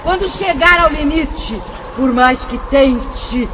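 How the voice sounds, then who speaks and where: A tormented, scornful lost soul with a very high, strained voice. He drags out his words with theatrical bitterness and despair, over loud, noisy ambience in a radio drama. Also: slow, dull audio. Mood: mocking despair. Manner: theatrical, sneering, declamatory. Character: bitter mocking damned spirit of a suicide, scornful and despairing.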